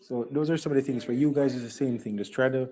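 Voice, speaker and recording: A man's voice: mid-range voice, fast talker, dark tone. A man in a casual voice chat, reflective and giving advice, often repeating words as he talks. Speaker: crypto chat participant.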